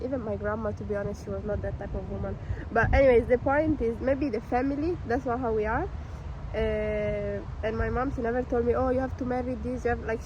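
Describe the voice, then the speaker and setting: A woman's voice: fairly high pitch, muffled recording, background noise. A young woman from Italy chatting casually about relationships, explaining things with plenty of qualifications. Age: young adult. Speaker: vlogger's girlfriend, co-host.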